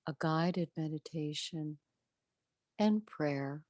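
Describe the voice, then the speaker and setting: A woman leading a guided meditation and prayer in a gentle, soothing, measured way with long pauses, her soft voice turning breathy at phrase endings. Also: low-pitched, no echo, clean studio sound.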